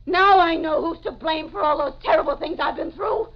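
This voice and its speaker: A woman's voice: very high-pitched, moderate pace, slight background noise. An actress playing a haunted, desperate wife who confesses her murders, in intense, melodramatic 1940s radio mystery style. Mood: bitter, unhinged. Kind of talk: 1940s radio mystery acting, first-person narration. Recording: dull old 1940s radio recording with music.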